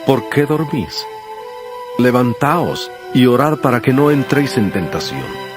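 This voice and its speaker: A solemn male prophet and teacher in an audio Bible drama. He speaks deliberately, with sorrow and authority, at a middling pitch over clearly heard music. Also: big pitch swings. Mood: grave reproach.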